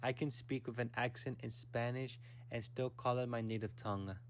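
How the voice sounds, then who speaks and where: A young man from the United States giving a reflective, measured narration for a personal documentary. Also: medium pitch, fairly even intonation, a little hiss or hum.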